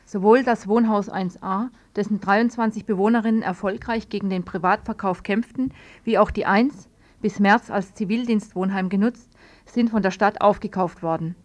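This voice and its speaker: A woman reads a scripted report as a radio news presenter. Her voice sits at a medium pitch. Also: fairly even intonation, normal speed.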